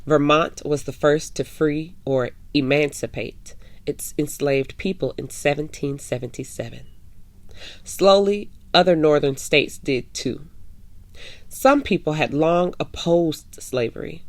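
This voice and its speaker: Female storyteller for young listeners, low register, measured read-aloud with stretched key words, close dry sound. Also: no echo. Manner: addresses young listeners, measured with drawn-out emphasis. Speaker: host and reader of a children's story time. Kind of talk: read-aloud storytelling of a children's picture book.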